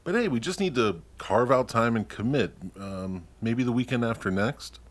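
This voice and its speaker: A man's voice: mid-range voice, expressive, moderate pace, natural voice. A man playing an easygoing, supportive young partner in a naturalistic play. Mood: eager, reassuring. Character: easygoing, eager, supportive male partner, dreamy. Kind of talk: naturalistic stage acting in a livestreamed play.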